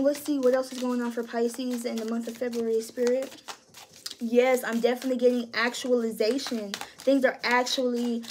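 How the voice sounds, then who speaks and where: A woman giving a casual tarot reading in a high voice, swearing freely and talking with slangy emphasis. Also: slight background noise, rough recording. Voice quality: high-pitched voice. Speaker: tarot reader.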